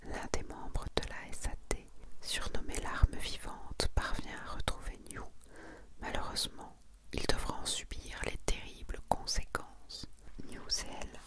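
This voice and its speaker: Lady doing asmr, all whispered, breathy n soft, chatty little asides. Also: unhurried. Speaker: host of an ASMR manga collection video. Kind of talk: whispered ASMR presentation, reading summaries and commenting.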